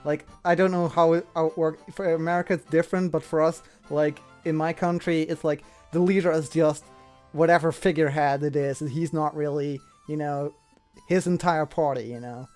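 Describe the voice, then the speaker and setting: A man's voice: a little high, steady tone, moderate pace, darkish tone, faint music behind. A man chatting casually as an interviewer, explaining things in a rambling way and often repeating himself. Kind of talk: casual chat.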